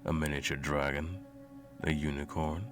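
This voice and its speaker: A man playing a cruel, smug, all-powerful sorcerer in an ASMR roleplay, with a slow, condescending, menacing drawl and a gravelly voice. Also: a bass voice, steady tone. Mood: mocking, domineering. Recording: music playing under the voice. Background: music.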